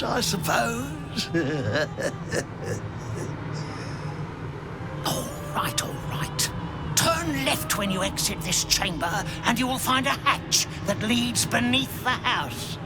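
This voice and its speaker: A gravelly, sneering spirit jailer. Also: mid-range voice, animated, very slow, pauses often. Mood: sardonic. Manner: mocking, drawling, with sneering laughs. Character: cruel sardonic spirit, former prison keeper. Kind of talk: video game cutscene acting. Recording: music underneath.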